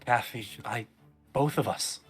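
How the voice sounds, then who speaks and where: A man voicing a brash, brooding young man with a blunt, rough way of talking, in amateur dubbing of a game. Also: a little slow, lightly acted. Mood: somber, earnest. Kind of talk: amateur fan voice-over of game dialogue. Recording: low-quality game capture with music.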